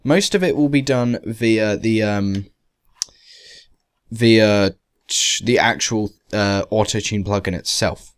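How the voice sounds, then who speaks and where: A man giving an informal software tutorial in a conversational way, with wry, emphatic asides. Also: fairly low pitch, slow pace, clear, resonant tone. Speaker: tutorial presenter. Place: voice-over to a screen capture.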